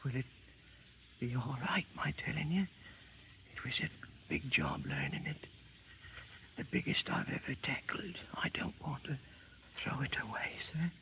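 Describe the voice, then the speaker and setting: A dying stage performer whispers in slow, halting phrases, dutifully reciting what he has memorised. The voice is breathy and failing, on a dull, muffled 1930s radio recording. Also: medium pitch, wildly swooping pitch, sharp tone, full character voice. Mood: weak, anxious. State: gravely wounded, failing. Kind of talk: 1930s radio drama acting.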